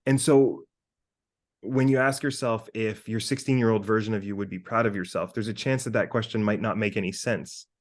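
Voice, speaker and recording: A man in his thirties talking casually as a podcast co-host, animated and sweary as he thinks out loud. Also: fairly low pitch, fairly quick. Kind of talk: casual conversational monologue with hypothetical examples.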